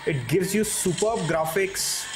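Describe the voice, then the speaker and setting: A man's voice: high-pitched, expressive, a little slow, over music, poor audio. A man giving a product review as a tech reviewer, explaining things step by step.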